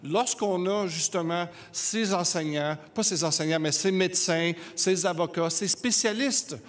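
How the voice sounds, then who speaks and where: A middle-aged man rises from the audience to speak at a standing microphone, earnest and halting, full of filler pauses. His voice sits a little higher than most men's. It carries the long reverb of a big hall. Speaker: audience member asking a question. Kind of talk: audience question at a debate. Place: large studio hall with audience.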